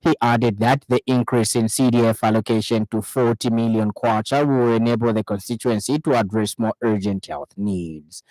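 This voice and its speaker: A man's voice: fairly low pitch, fairly even intonation, normal speed, few pauses, a little mellow, no room sound, poor audio. A man with a Zambian accent reading scripted radio news as a presenter in a studio.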